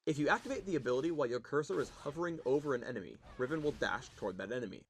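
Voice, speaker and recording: A man's voice: medium pitch, lively intonation, rapid-fire, a little hiss or hum. A man presenting a game spotlight in scripted, instructional tutorial narration. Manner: instructional, explanatory.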